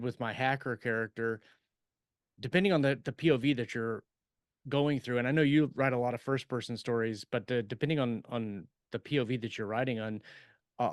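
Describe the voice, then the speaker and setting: Man, podcast co-host, mid-pitched voice, casual chat, halting delivery, dry recording. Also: smooth, dry recording. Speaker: podcast co-host and science fiction writer. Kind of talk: casual shop-talk chat.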